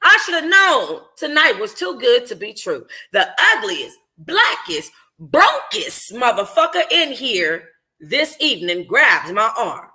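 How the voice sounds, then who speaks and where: Book club host: woman, bright forceful voice, informal and sassy, reading like a casual livestream. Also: fairly high pitch, big pitch swings, unhurried.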